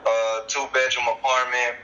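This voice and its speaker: Podcast guest, man, very bright voice, muffled call audio, laid-back slangy talk. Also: medium pitch, level tone, moderate pace, slight background noise. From El Paso, Texas. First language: Spanish. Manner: laid-back, heavy use of slang and fillers. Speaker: guest artist. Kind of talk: casual podcast interview. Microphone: video call.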